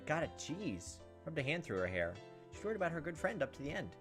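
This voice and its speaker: A man's voice: a little high, very animated, fast talker, music in the background. A man reading a visual novel aloud as a let's play host, with casual, crude joking asides.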